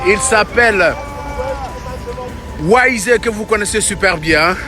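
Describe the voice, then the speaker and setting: Male street reporter, excited, unhurried delivery, loud crowd behind. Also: sits high, music in the background. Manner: enthusiastic, keeps repeating exclamations. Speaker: reporter filming a march. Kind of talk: excited live street commentary. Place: outdoors on a busy street.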